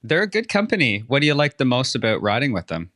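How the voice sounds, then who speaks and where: A man's voice: mid-range voice, sing-song, quick pace, fairly bright tone, no room sound, studio quality. A man hosting a podcast, chatting casually and encouragingly with his guest in an upbeat interview. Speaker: podcast host.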